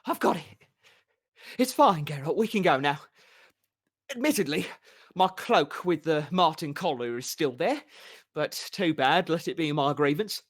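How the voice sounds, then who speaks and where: Reader voicing a flamboyant male bard; raised, lively, theatrical. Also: mid-range voice, a little slow, pauses often. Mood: excitable. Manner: theatrical, excitable.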